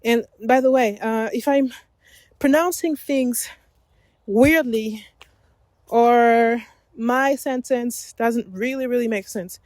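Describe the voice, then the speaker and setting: French lady rambling on her podcast while walking a forest path, kinda high voice, takes her time, dry close sound. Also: slow pace, long pauses, a little mellow, no room sound. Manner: rambling, self-correcting, laughs often. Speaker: podcast host.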